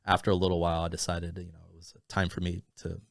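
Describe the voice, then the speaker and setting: A man with a very low voice telling his story as a podcast guest. He rambles in easy conversation, full of "you know" and restarts. The sound is close and dry, with no room echo. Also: monotone, fast talker, gravelly. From Thailand, raised in Arizona. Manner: rambling, many fillers and restarts. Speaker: podcast guest, business owner.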